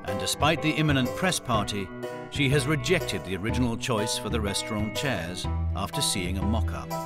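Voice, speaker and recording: A man's voice: fairly low pitch, moderate pace. A man with a British accent delivering scripted documentary narration. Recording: music under the voice. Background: background music.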